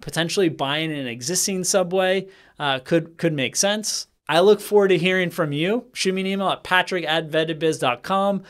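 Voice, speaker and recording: A man in his thirties gives a skeptical business commentary straight to camera, talking with his hands, in a small bright room with a desk microphone. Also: sits high, normal speed. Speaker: franchise consultant and channel host. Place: small bright indoor room with bookshelf and plant. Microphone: desk microphone in front of him.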